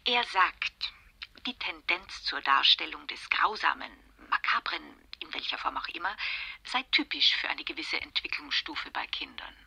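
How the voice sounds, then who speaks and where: A woman's voice: low voice, moderate pace, gravelly, slightly rough, no room sound, exaggerated voice. An actress playing a talkative, eager friend in a radio crime drama, insistently passing on what she has heard. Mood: insistent, gossipy. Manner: talkative, insistent, animated storytelling. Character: chatty, eager woman friend passing on expert gossip.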